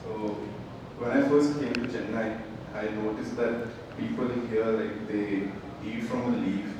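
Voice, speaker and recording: A man's voice: mid-range voice, steady tone, a little slow. A young man from West Bengal, an audience member telling a casual anecdote and using "like" often. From West Bengal, India. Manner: informal, uses "like" as a filler often. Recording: echoey room, distant from the mic, audible noise.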